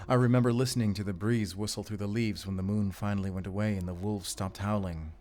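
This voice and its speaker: A haunted werewolf hunter, played by a man in an audio drama. His voice sits low, and he speaks tersely and solemnly, guarded and defiant. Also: steady tone, quick pace, darkish tone, natural voice. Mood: wistful. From Germany. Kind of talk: cinematic audio roleplay acting.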